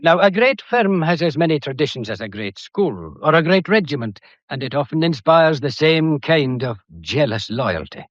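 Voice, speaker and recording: An actor playing a shrewd, methodical police detective in a British period mystery drama, courteous and lecturing, with a faintly theatrical delivery. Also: male voice, on the high side, expressive, normal speed, few pauses, no room sound, highs cut off. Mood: pointed, deliberate. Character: shrewd, methodical male police detective laying out a murder case.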